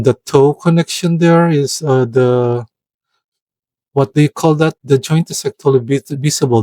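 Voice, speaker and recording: A man from the Philippines, giving a product review voice-over in a careful, hesitant way, often pausing to search for words. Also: medium pitch, warm tone, dry recording. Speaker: toy reviewer.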